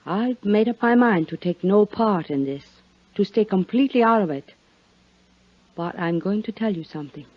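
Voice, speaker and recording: A woman's voice: medium pitch, expressive, normal speed, no room sound, natural voice. A woman playing a cool, coy, hard-headed businesswoman in a 1950s radio drama, speaking with poised, teasing intimacy. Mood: confiding. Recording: muffled vintage 1950s radio recording.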